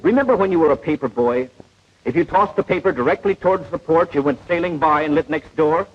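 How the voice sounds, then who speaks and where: A man playing a patient, precise, didactic gunnery instructor in a 1940s animated training film. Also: fairly high pitch, a little fast, a little hiss or hum, close to an everyday voice. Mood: instructive. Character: patient, know-it-all male gunnery instructor, precise and unflappable. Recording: dull vintage 1940s film soundtrack.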